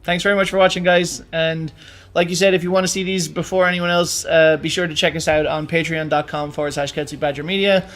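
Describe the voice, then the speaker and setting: A man's voice: on the high side, steady tone, fast talker, fairly bright tone. A man co-hosting a show, chatting and bantering casually. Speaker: co-host. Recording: faint music behind. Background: faint music.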